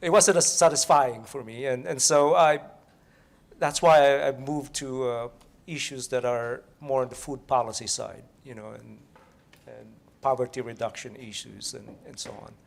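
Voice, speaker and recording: A man from the Philippines with a gravelly, creaky voice. He speaks haltingly on a conference panel and restarts his sentences often. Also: medium pitch. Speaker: researcher on a panel.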